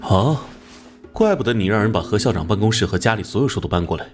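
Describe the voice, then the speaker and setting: An actor playing a hot-tempered but rule-minded police captain in an animated crime drama, blunt and commanding. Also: male voice, lowish voice, fast talker, over music, close to an everyday voice. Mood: puzzled.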